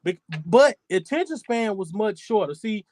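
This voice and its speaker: A man's voice: high voice, lively intonation, moderate pace, a little mellow, no echo, studio quality. A man in his forties hosting a podcast, talking in a loose, profane, rambling way. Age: 40s. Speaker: podcast host. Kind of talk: casual chat.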